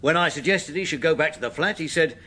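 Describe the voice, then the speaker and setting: A man's voice: on the high side, quick pace, sharp tone, slightly rough. An actor playing a deferential, plain-spoken British male warder in a radio drama, matter-of-fact in manner.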